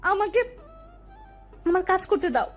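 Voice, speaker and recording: Casting: actress as fussing, commanding young sweetheart in audio love story, very high voice, faint music. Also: female voice, animated, takes it slowly, full character voice. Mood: alarmed, insistent. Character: doting, bossy, tearful young woman in love.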